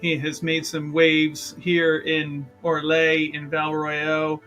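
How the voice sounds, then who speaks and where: A man's voice: a little high, monotone, slow pace, bright, forceful tone, muffled recording, soft background music. A man playing a tabletop game, casually introducing his character with a theatrical build-up and stumbling repetitions.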